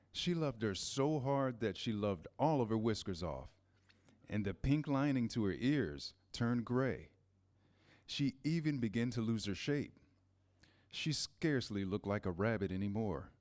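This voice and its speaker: A man narrating a scripted children's story in measured, pausing phrases. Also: medium pitch, animated, a little mellow. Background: music, guitar.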